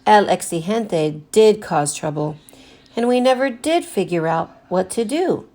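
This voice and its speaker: Woman reading a children's storybook aloud. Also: medium pitch. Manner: animated delivery with deliberate emphasis for children. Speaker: teacher reading a picture book aloud to students.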